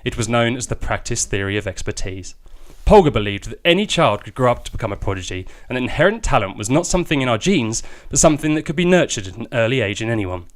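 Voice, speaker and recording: A man's voice: medium pitch, big pitch swings, fast, hardly any pauses. A man hosting a business podcast, bantering with his co-host and reading a comic story aloud as narrator. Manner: jokey, teasing, reads narration with flourishes.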